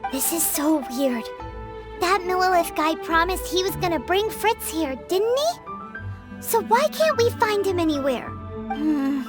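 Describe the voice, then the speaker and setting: A tiny, excitable girl sidekick from a fantasy game. The voice is extremely high and squeaky, with slow, clearly spelled-out chatter. Loud music plays underneath. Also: heavily acted. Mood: puzzled, worried. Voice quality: squeaky, childlike. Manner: speaks of herself in the third person. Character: small, excitable, childlike floating girl companion, chatty and worried. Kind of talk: video game voice acting.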